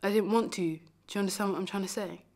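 Woman acting heartbroken young lover, lonely, self-deprecating, confessional, emphatic, hushed-to-forceful stage monologue, mid-pitched voice. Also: steady tone, very fast, lightly acted. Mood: wistful, intense.

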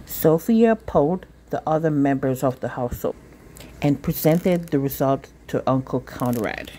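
A woman reading a children's picture book aloud in a deliberate, expressive storytelling manner. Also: low voice, slight background noise, low-quality recording. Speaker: storytime reader.

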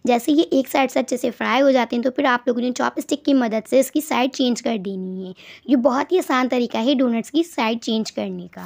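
A woman's voice: a little high, gravelly. A woman giving step-by-step recipe instructions as a voice-over, often doubling words for emphasis. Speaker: cooking channel host.

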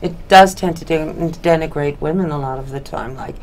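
A woman's voice: deep voice, fairly quick. A middle-aged woman hosting a talk show from a small studio, chatty and blunt as she interviews a guest. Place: small studio with dark curtain.

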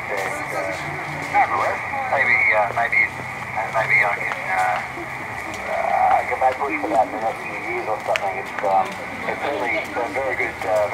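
An Australian man talking casually over amateur radio, halting and thoughtful, heard through a radio speaker. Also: lowish voice. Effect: radio transmission through a speaker. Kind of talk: casual two-way radio chat. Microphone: radio speaker picked up by camera. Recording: very noisy shortwave radio reception outdoors.

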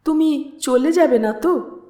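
Woman acting drowsy, feverish young woman, high-voiced. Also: takes it slowly, warm tone, roomy sound. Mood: drowsy, clinging.

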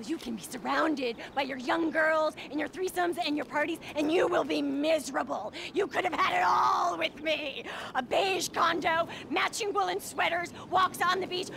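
A woman's voice: very high voice, vocal fry, background noise. An actress playing an angry, insecure, combative fitness fanatic woman in her thirties in a video game cutscene, swearing and neurotic. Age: 30s.

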